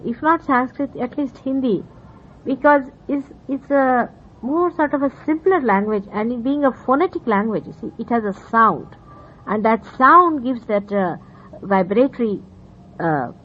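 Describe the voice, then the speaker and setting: An earnest spiritual teacher from India, a woman with an Indian accent whose first language is Marathi. Her voice sits fairly high, and she explains slowly, pausing with fillers as she searches for words. The old 1970s tape sounds dull and carries a faint hiss. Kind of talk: spiritual talk to followers.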